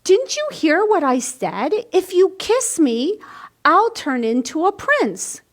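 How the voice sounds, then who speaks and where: Woman putting on a bossy, high-pitched frog prince. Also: sing-song, takes it slowly, full character voice. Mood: indignant, yelling. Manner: insistent, prim. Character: young male frog prince, bossy and haughty.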